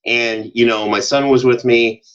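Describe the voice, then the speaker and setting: The recording is a little dull and lo-fi. A man of average pitch talks casually as an interview guest, spinning anecdotes with animation and slipping into quoted back-and-forth as he goes. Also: a little slow. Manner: animated storyteller, acts out quoted exchanges. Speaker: podcast guest, audio drama producer.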